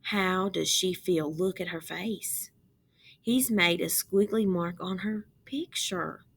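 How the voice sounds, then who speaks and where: A woman reading a picture book aloud as a teacher, pausing to explain and ask young children questions. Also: fairly low pitch, creaky, breathy edge.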